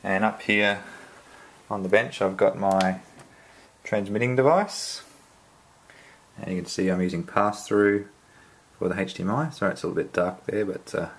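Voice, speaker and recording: An Australian man giving a casual unboxing walkthrough at home, halting and full of ums and restarts. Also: low-pitched, steady tone. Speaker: tech reviewer. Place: indoors at home, filming the box up close.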